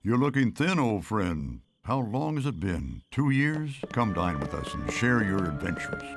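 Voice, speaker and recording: A man voicing a kindly, wise elderly statesman in an animated series, genial and avuncular. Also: lowish voice, measured pace, vocal fry, exaggerated voice. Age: older. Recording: music behind the voice.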